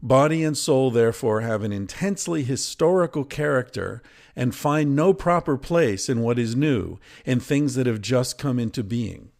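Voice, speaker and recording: A man reading from a book aloud in a deliberate, measured way, with informal commentary of his own. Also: medium pitch, lively intonation, creaky, a little mellow. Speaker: podcast host and reader. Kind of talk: reading a book excerpt aloud with casual asides.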